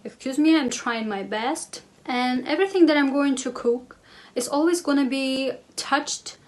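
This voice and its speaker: A woman's voice: high-pitched, a little slow. Chatty woman in her twenties, English. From Russia. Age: 20s. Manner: chatty, upbeat, hesitant non-native phrasing. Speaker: vlogger. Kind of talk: casual talk to camera and cooking voice-over. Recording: roomy sound with faint music behind.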